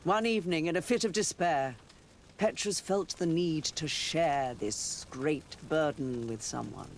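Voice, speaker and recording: A man playing a shrewd, sardonic political rival in a video game, speaking in a deliberate, knowing way. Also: on the high side, slight background noise. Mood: pointed. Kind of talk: video game cutscene acting.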